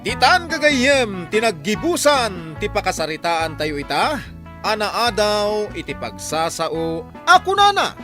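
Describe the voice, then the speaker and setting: A male radio drama announcer with an Ilocano Filipino accent. He reads his script dramatically at a high pitch with sweeping intonation, over clear music. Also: big pitch swings, a little slow.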